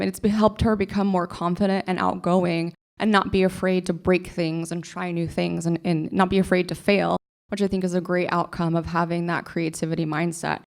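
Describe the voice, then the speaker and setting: A young woman from Oklahoma, a librarian giving a short conference presentation, talking earnestly and enthusiastically with small restarts. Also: medium pitch. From Oklahoma, USA. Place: conference stage.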